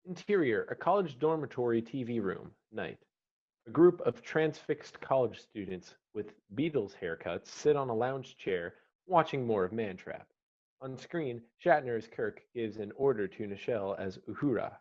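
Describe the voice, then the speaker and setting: A man's voice: medium pitch, expressive, moderate pace, a little mellow. Terse male script narrator, slightly dull audio. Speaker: stage-direction narrator.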